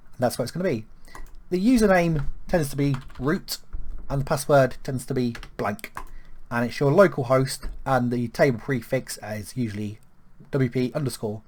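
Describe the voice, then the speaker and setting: A man from the UK talking through a software setup step by step, with lots of ums and small restarts. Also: medium pitch. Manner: relaxed, filler-heavy walkthrough with frequent ums. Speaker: web tutorial presenter. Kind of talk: screen-recorded software tutorial.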